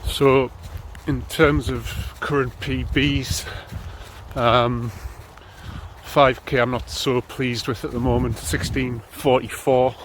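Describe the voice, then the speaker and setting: Slow-talking northeast English man, flat voice. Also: medium pitch, slight background noise. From North East England. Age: 30s. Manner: relaxed, rambling, lots of ums. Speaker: running vlogger. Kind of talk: casual chat while jogging. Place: outdoors on a snowy morning run. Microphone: handheld camera while running.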